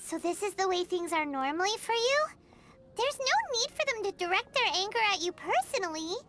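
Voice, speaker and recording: Very high squeaky little fairy sidekick, chirpy, fretful, bouncy anime game voice, music behind. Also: female voice, sing-song, a little fast. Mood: puzzled. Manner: refers to self in third person. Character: tiny high-pitched childlike fairy companion, chirpy and fretful. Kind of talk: anime video game voice acting.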